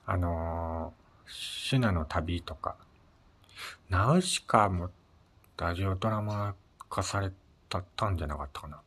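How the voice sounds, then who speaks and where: A man with a low voice talks solo as the host of a personal radio-style show. His delivery is polite and conversational, like casual chat addressed straight to listeners. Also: a little slow, long pauses, fairly bright tone, slightly rough.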